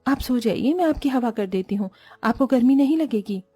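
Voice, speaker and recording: A gentle young daughter-in-law, voiced by a woman storyteller, sweet and mild in her manner. Her voice sits fairly high, over faint background music. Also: lively intonation, moderate pace, breathy edge, dry recording.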